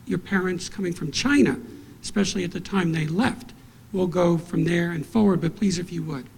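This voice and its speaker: A man's voice: sits high, moderate pace, gravelly, breathy edge. A man moderating a panel discussion, asking inquisitive questions with hesitant restarts.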